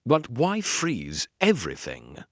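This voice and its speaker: A man voicing a sharp, cool-headed Victorian detective who speaks in measured deductions. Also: mid-range voice, wildly swooping pitch, dry recording, studio quality, full character voice.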